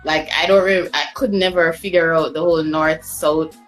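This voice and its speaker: A woman's voice: low-pitched, fairly even intonation, normal speed, faint music behind, rough recording. A woman telling travel stories as a podcast guest, chatty and loose, with fillers and restarts. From Jamaica. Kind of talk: casual storytelling chat.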